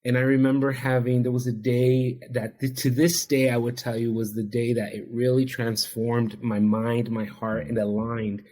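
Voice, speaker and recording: A man whose first language is Spanish talks as a guest on a podcast about his own past. He is an addiction counselor, and he speaks in an earnest, reflective, heartfelt way. His voice sits at an ordinary middle pitch for a man. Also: little pitch movement, normal speed, hardly any pauses, warm tone. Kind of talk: podcast interview, personal storytelling.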